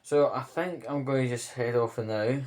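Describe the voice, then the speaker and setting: A man speaking casually to his viewers in a vlog update, earnest and hesitant, pausing between short phrases. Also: mid-range voice, steady tone, a little mellow. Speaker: vlogger.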